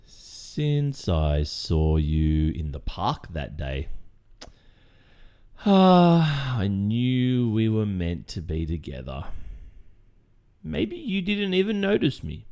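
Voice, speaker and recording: A man acts out a creepy, lovestruck Australian boyfriend who has turned kidnapper, in an ASMR audio roleplay. His voice is very low and unhurried, with pitch that rises and dips widely. He speaks haltingly and cheerfully, soothing his captive with false reassurance. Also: a little mellow, full character voice. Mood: besotted, creepily proud. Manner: hesitant, halting, nervous chuckles, falsely reassuring.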